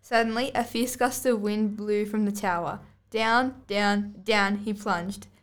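A woman's voice: medium pitch, steady tone, measured pace. A girl of about eleven or twelve reading scripted storybook narration aloud as a student podcast host. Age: child, about 11 to 12.

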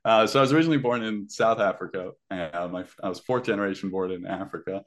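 A man's voice: low-pitched, fast talker. A young man who grew up in Ireland, chatting casually as a podcast guest with a faint Irish accent and lots of fillers. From Zimbabwe. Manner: relaxed, many fillers.

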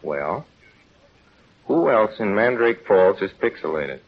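Lively, halting small-town fellow. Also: male voice, on the low side, vocal fry, exaggerated voice. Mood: halting, folksy. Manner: halting, folksy, sincere. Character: earnest, plain-spoken, folksy small-town young man. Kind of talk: 1930s radio drama acting. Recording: dull, noisy 1930s radio recording.

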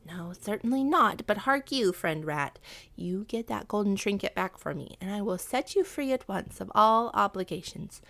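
A woman's voice: medium pitch, expressive, moderate pace, gravelly, fairly bright tone. A woman voicing a sly, haughty female cat in a children's story, with a purring, scornful, commanding manner. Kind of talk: children's animal storybook character.

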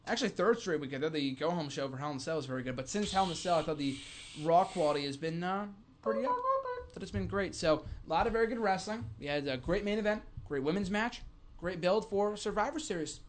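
This is a man in his early twenties with a slightly high voice. He talks casually as an enthusiastic wrestling fan hosting a show, often repeating a phrase for emphasis. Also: expressive, fast talker, a little hiss or hum. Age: early 20s. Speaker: co-host of a pro wrestling fan podcast. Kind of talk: casual sports fan chat.

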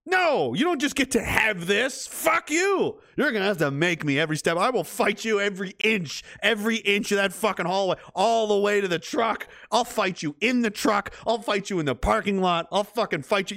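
A man's voice: high voice, fairly bright tone, no room sound, clean studio sound. A man hosting a livestream, rambling in casual, heated and profane commentary. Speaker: livestream host.

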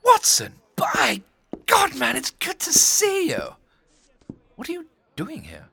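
A man playing a boisterous, jovial office manager in an audio drama, exuberant and husky. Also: very high-pitched, huge pitch swings, slow, background noise, heavily acted. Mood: boisterous greeting. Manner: exuberant, blustery, self-deprecating. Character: boisterous, jovial male old school chum, office manager.

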